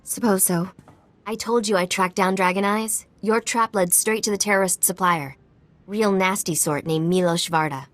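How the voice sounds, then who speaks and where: A woman's voice: medium pitch, fairly even intonation, moderate pace, close to an everyday voice. A woman playing a wry, wary underground informant in a video game, dry and matter-of-fact. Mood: dry, informative. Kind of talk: video game dialogue acting.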